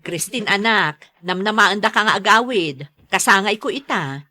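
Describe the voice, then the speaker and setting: Patient Ilocano mother, bright voice. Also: mid-range voice, expressive, moderate pace, no room sound. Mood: tender. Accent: Filipino Ilocano. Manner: pleading, soothing, maternal. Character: patient, long-suffering mother and wife, middle-aged. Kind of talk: radio serial drama acting.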